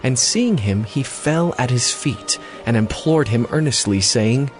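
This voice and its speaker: A man's voice: medium pitch, lively intonation, measured pace, soft background music. A man reading scripted narration for a dramatized audio Bible.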